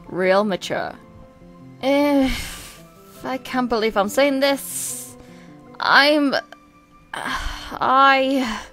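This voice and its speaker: A woman's voice: high-pitched, drawn-out words, pauses often, a bit bright, soft background music, full character voice. A woman voicing a proud, prim male twin in an amateur comic dub, haughty and theatrical but grudgingly kind. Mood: awkward, reluctant.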